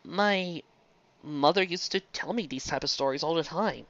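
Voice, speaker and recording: A woman's voice: deep voice, moderate pace, dull audio. A woman reviewer reading fan fiction aloud with comic asides, theatrical and exclamatory.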